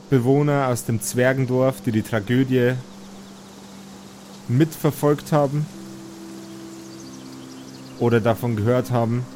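A man narrating as game master, telling an improvised fantasy story in a measured, halting, atmospheric way. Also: mid-range voice, darkish tone, a little hiss or hum.

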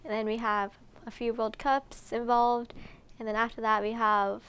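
A woman's voice: a little high, flat delivery, moderate pace, a bit bright, smooth. A young American woman answering interview questions in a bubbly, giggly way, with frequent "like". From United States. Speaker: climber.

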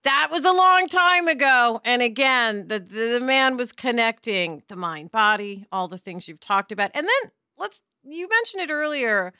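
A woman's voice: on the high side, animated, normal speed, hardly any pauses, sharp tone, clean tone, no echo, studio quality. A woman talking casually as a podcast panelist, with a candid, informal and emphatic manner. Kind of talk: casual conversational discussion.

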